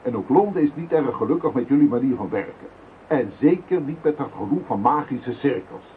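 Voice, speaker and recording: A man's voice: mid-range voice, animated, brisk, natural voice. Man doing a stern scolding commissioner, tinny old radio sound, faint hiss. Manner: reproachful, stern. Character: stern male police commissioner. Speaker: police commissioner.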